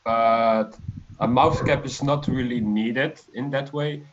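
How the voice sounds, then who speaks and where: A man in his twenties from the Netherlands joins a live stream as a guest over a video call. His voice is mid-pitched, and he talks in a relaxed, hesitant way full of fillers. The audio is slightly muffled and lo-fi. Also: slow pace, background noise. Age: 20s. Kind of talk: casual interview chat.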